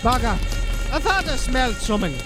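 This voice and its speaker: Male superstitious, rustic sapper grumbler: high, slow, under loud dramatic music. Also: sing-song. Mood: grumbling. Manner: rustic, folksy grammar, grumbling. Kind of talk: fantasy audio drama acting.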